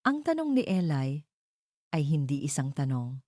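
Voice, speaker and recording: Low, mellow woman storyteller. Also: big pitch swings, normal speed, no echo, studio quality. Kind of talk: scripted dramatic narration of a romance story.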